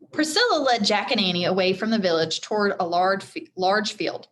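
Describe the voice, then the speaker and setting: A woman reading a children's chapter book aloud in an expressive teacherly style, speaking directly to her young listeners. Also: mid-range voice, a little hiss or hum. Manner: addresses young listeners directly, acts out dialogue.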